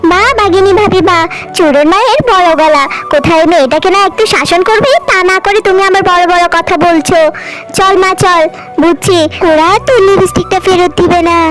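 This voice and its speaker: Woman as a scolding cartoon mom, high and firm, faint music underneath. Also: a little fast, poor audio. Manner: scolding, sharp-tongued, firm. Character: poor, scolding but loving mother.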